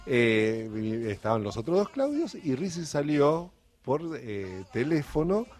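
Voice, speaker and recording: A man's voice: a little high, sing-song, a little slow, a little mellow. A man with an Argentine accent chatting casually as a radio co-host. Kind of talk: casual studio chat.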